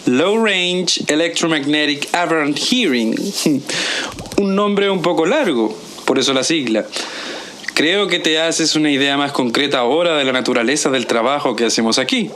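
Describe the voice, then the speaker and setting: Male actor: self-assured Chilean entrepreneur, exclamatory and formal, bright, highish voice, audio drama. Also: moderate pace, slight background noise, close to an everyday voice. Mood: effusive. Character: effusive, smooth-talking male entrepreneur running a research lab.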